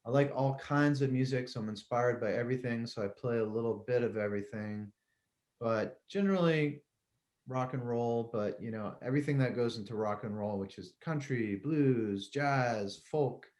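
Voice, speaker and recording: A man's voice: mid-range voice, normal speed, darkish tone. A middle-aged man from Connecticut, a musician guest talking casually in an interview, rambling and laughing easily.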